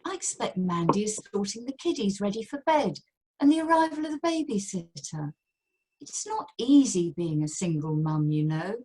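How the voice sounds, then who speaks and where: An amateur actress playing a put-upon, placating middle-aged British wife in a radio play. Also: female voice, medium pitch, very animated, moderate pace, warm tone, slightly rough, poor audio. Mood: pleading, harried. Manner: harried, conciliatory.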